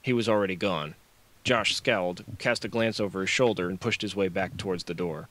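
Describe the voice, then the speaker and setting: A man's voice: deep voice, a little fast, a bit bright. A man narrating a novel as an audiobook, reading scripted fiction on a close microphone.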